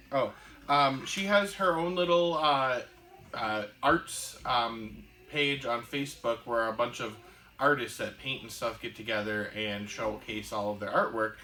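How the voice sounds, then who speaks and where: A woman's voice: extremely low pitch, bright, forceful tone, rough recording. A woman co-hosting a casual chat show, rambling with lots of fillers and easy enthusiasm. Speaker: podcast co-host. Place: shop room indoors.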